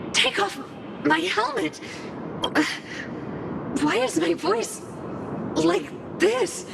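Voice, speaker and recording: An earnest, anxious young woman from a video game. Her very high voice is slow and hesitant, breaking into heavy creak. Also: noisy background, exaggerated voice. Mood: distressed. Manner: hesitant, halting.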